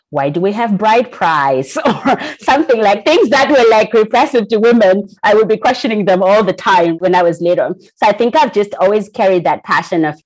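Woman in her 30s, really low voice, chatty and laughy, lots of "like" and "right?". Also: low-quality recording. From Zimbabwe. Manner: animated storyteller, laughs often, frequent "like" and "right?". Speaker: guest, employee group founder. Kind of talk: casual podcast interview.

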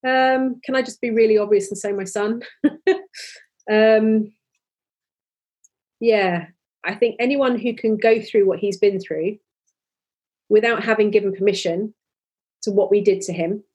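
Husky woman talking candidly. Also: medium pitch, unhurried, pauses often. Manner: earnest, animated, many restarts. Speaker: podcast guest. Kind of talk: candid conversational interview.

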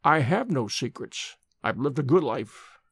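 A man voicing a dying old nobleman, cold and unrepentant, speaking slowly in a low, hollow voice. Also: expressive, fairly bright tone, husky, dry recording, heavily acted. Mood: grim.

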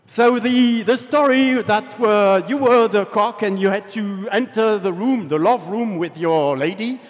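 A man with a French accent tells his story from a stage in a loose, chatty way, dotted with fillers. His voice sits high. The sound rings out with a long reverb from a large hall. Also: unhurried, soft background music. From France. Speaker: game developer giving a talk. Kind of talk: conference presentation.

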